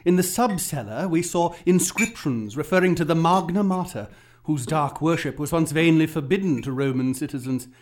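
An actor playing a composed scholarly surveyor of ancient sites in a radio drama, with formal, measured wording. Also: male voice, on the high side, close to an everyday voice. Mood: scholarly, intrigued.

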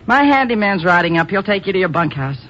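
A woman's voice: medium pitch, sing-song, fast talker, fairly bright tone. An actress playing a brusque, no-nonsense woman who owns a ranch in a 1950s radio drama. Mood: businesslike. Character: tough, curt woman ranch owner. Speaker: radio actor. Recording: muffled, hissy old radio recording.

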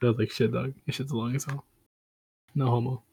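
A man's voice: mid-range voice, little pitch movement, brisk, warm tone, husky, no room sound. A young man co-hosting a casual podcast, talking bluntly in slang and stumbling over restarts. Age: young adult. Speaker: podcast co-host. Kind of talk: casual chat among friends.

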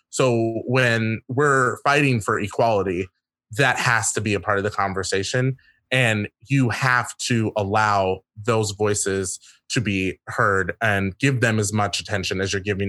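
A man speaking as a guest in a casual discussion, earnest and reflective, often pausing to rephrase. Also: on the low side, fairly bright tone, dry recording.

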